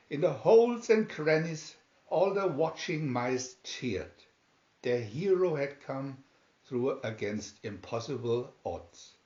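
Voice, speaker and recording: A man reading a children's adventure story aloud as scripted narration, with dramatic, deliberate, emphatic phrasing. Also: a little high, darkish tone. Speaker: reader of children's picture booklets. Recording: dull, echoey home recording.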